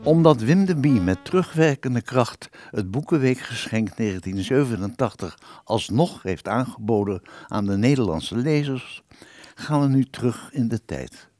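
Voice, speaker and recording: A man's voice: mid-range voice, animated, moderate pace, darkish tone, dry recording, faint music behind. A man narrating a scripted radio play as its announcer.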